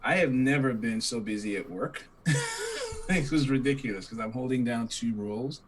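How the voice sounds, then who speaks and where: A man's voice: medium pitch, normal speed, a crowd in the background, rough recording. A man co-hosting a podcast, talking in a casual, rambling way and laughing at his own stories. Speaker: podcast co-host. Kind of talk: casual chat.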